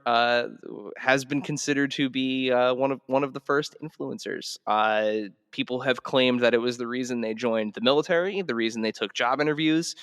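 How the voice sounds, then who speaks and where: A man hosting a casual podcast, explaining history in a halting, conversational way. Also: medium pitch, a bit bright, clean tone, no room sound, studio quality, long reverb tail. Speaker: podcast host.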